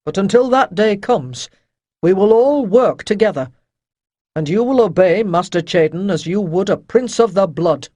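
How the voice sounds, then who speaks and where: Casual: stern captain laying down the law, as a reader plays him, crisp and cold. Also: medium pitch, lively intonation, normal speed, darkish tone, no echo, lightly acted. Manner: clipped, authoritative. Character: commanding male ship captain, stern and protective.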